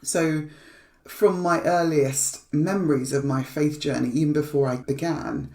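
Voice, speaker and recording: A woman's voice: low voice, steady tone, measured pace, a little mellow, echoey room. A woman, born in Nigeria and raised in England, giving an earnest, thoughtful personal reflection with small restarts, recorded remotely indoors. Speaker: charity fundraising head giving a reflection.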